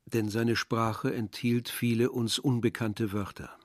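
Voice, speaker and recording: Man acting villager narrator, reflective, measured, middle-pitched voice, scripted literary radio drama. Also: level tone, dry recording, studio quality, natural voice.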